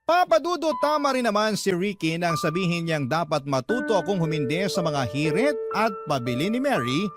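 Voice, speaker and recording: A lovelorn young Filipino telling his own story in dramatic scripted narration. His voice is fairly high for a man and earnest, set over prominent music. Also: very animated, moderate pace. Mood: wistful, reflective. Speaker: letter narrator.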